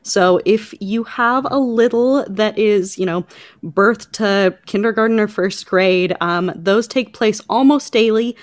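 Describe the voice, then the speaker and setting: A woman's voice: medium pitch, unhurried, no echo, studio quality. A woman on a podcast panel giving information in a casual, conversational style.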